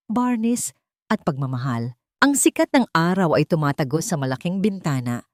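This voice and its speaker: A woman narrating a melodramatic audio story in a steady, dramatic storytelling manner. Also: low-pitched, dry recording, studio quality.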